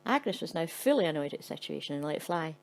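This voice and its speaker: Woman storyteller: dry, matter-of-fact narration, lowish gravelly voice. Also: very animated, fast talker, a little mellow. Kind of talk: scripted narration.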